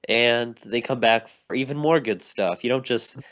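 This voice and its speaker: A man's voice: medium pitch, normal speed, a bit bright. A young man co-hosting a casual podcast chat, insistent and argumentative, who keeps telling his friend to listen. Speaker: podcast co-host. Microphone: remote call mic.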